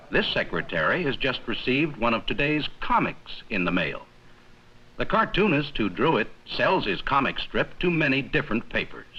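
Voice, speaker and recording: A man reading scripted 1950s educational film narration in a measured, explanatory manner with simple, plain sentences. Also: mid-range voice, bright, forceful tone, breathy edge, muffled recording. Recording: worn, noisy 1950s 16mm film soundtrack.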